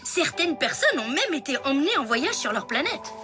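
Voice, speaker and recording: A woman playing an excitable, earnest young boy in a cartoon dub, explaining things eagerly and emphatically. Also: sits high, normal speed, music in the background. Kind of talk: animated series dubbing.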